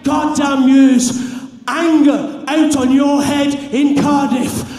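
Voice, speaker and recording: Fiery Welsh wrestler shouting in an arena. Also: male voice, fairly even intonation, slow pace. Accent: South Welsh. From South Wales. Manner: fiery, builds to furious shouting. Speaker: pro wrestler. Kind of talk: wrestling promo to a live crowd. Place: large arena with a live crowd. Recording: booming echo from a big hall, crowd noise.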